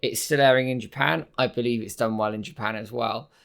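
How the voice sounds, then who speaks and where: A hoarse man hosting a casual news commentary video, hesitant with many filler words. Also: mid-range voice, brisk, a bit bright. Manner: hesitant, many ums and sort-ofs. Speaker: host.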